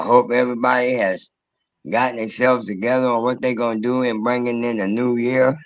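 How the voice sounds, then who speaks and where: An older man with a hoarse, raspy voice talks to listeners in an encouraging, preacherly way. His voice comes through a dull, muffled, dry-sounding remote line. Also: medium pitch, dry recording. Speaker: guest, host's father. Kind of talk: casual podcast talk.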